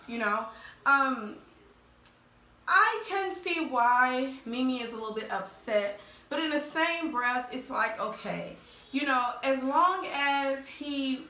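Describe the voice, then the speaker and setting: A woman with a bright, fairly high voice talks her viewers through a reality show recap, slightly hoarse from a cold. She chats loosely and leans on fillers like "you know" and "okay". Her voice bounces around a roomy, echoey space. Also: slight background noise. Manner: addresses viewers directly as "y'all". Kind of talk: casual chatty recap vlog.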